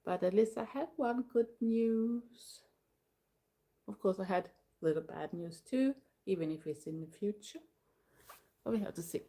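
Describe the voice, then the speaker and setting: An older Swedish woman with a clear Swedish accent, talking English as her second language. Her voice is mellow and soft-edged at a middling pitch. She chats in a loose, unhurried way, filling the gaps while she watches for viewers. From Sweden. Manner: relaxed, chatty, pauses often to read the chat. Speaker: live streamer. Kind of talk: casual solo live stream chat.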